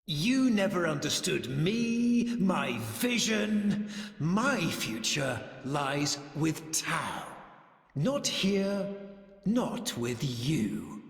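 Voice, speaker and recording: An actor playing a cruel, possessive and grandiose villain in a video game, speaking theatrically and with menace, like a wounded lover. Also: male voice, high voice, creaky, heavily acted. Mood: angry, imperious. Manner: theatrical, menacing, swinging from hushed to bellowing. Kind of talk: dramatic video game voice acting. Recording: echoing hall-like reverb.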